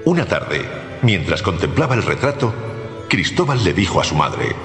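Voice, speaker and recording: A man narrating a storybook tale for radio in an expressive, theatrical way. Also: low-pitched, normal speed. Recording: echoey long reverb, music behind.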